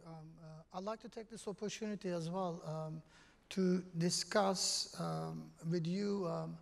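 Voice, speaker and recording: A man with a Turkish accent answering as a panelist on a conference stage, measured and full of ums. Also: high voice, creaky. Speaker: panelist, investment executive. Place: large conference hall stage.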